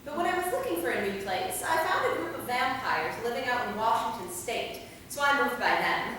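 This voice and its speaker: A woman playing a warm, chatty centuries-old vampire in a staged play reading, conversational and gently probing. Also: fairly high pitch, big pitch swings, brisk. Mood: chatty, teasing. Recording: echoey hall, distant mic, faint noise.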